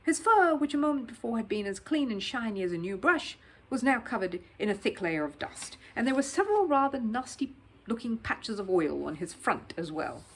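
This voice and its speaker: A woman reading a children's story aloud in expressive scripted narration. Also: a little high, normal speed, husky, low-quality recording.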